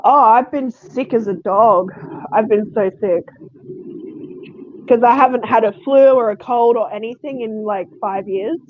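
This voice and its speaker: A woman streamer with a croaky, sniffly voice from being sick, chatting casually and laughing often. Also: a little high, a little slow. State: croaky voice from a cold. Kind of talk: casual live stream chat. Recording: dull lo-fi sound.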